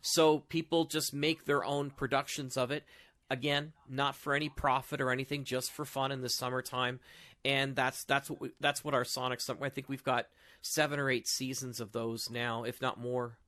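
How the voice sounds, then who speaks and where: A man chatting casually as a podcast host, telling stories in an animated way. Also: mid-range voice, normal speed. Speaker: audio drama show host and podcast panelist.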